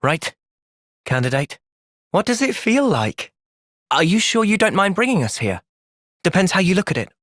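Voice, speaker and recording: A man voicing an earnest, thoughtful young fighter in a video game, measured and sincere but capable of impassioned intensity. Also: high voice, very animated, a bit bright, no room sound, clean studio sound, exaggerated voice. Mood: thoughtful, steady. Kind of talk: video game cutscene voice acting.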